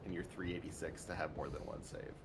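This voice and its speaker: Low-voiced wry man with noisy background rumble. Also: level tone, fast talker. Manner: wry, dry joking. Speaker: co-commentator on a gaming podcast. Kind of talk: casual chat, commentary.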